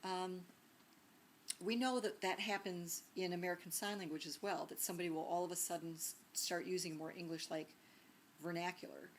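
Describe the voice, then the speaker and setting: Chatty low-voiced woman teacher lecturing. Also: fairly even intonation, normal speed, slight background noise. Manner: conversational, asks rhetorical questions; many ums and restarts. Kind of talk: recorded lecture.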